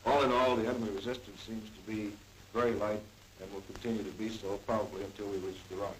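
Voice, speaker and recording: American male officer for a staff briefing. Lowish voice, matter-of-fact, off-mic on old hissy film. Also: little pitch movement, quick pace. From United States. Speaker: army intelligence officer briefing a superior. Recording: 1940s newsreel, distant mic, faint hiss.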